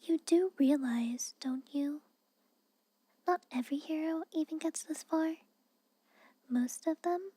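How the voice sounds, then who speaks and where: A woman playing a haughty, guarded but secretly caring young castle maid in a soft, breathy, whispered ASMR roleplay, hesitant and chiding. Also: sits high, no echo. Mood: aloof, grudging. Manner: hesitant, reluctant, aloof and chiding.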